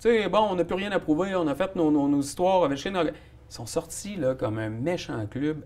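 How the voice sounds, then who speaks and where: A man's voice: on the high side, lively intonation, a little fast, a little mellow. A man with a Québécois French accent co-hosting a casual hockey talk segment, blunt and jokey with strong opinions. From Quebec. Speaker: sports talk co-host.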